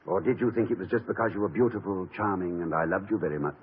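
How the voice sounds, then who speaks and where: An actor with a British accent playing a witty, genial college president in a 1950s radio comedy. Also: male voice, low voice, fast talker, slightly rough. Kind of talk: 1950s radio drama acting. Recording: tinny old radio broadcast with faint hiss.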